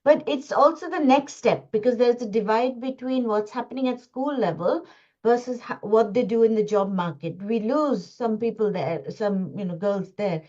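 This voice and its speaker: A woman from India giving an earnest webinar talk over a video call, halting and full of restarts and fillers. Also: a little high, mellow. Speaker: guest speaker. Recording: dull lo-fi call audio.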